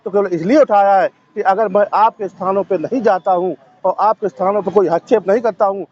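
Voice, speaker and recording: A man's voice: sits high, steady tone, fairly quick, a little mellow, dull audio. A man giving impassioned, assertive interview answers as a protester, stressing points by repeating phrases.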